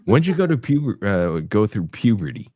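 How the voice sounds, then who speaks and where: A man's voice: mid-range voice, very animated, a little fast, dark tone, husky, dry recording, studio quality. A man in his forties chatting casually on a livestream, joking and teasing with rambling questions. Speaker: livestream panelist.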